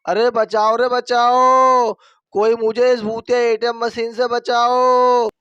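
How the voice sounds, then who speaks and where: Storybook victim voiced by a man: panicked villager, very high, loud drawn-out wails, clean sound. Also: little pitch movement, clean tone, no echo, highs cut off, heavily acted. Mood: terrified. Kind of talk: dramatized Hindi moral horror story.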